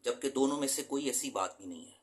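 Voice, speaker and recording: A man's voice: mid-range voice, animated, quick pace. A man from Pakistan, an English teacher, giving an earnest, emphatic lecture to his students and mixing English terms into his talk.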